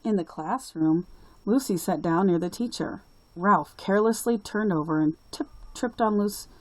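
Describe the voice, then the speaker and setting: A woman reading a children's story aloud as a storyteller, with deliberate, expressive phrasing. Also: mid-range voice, no echo, low-quality recording. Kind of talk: expressive picture-book read-aloud.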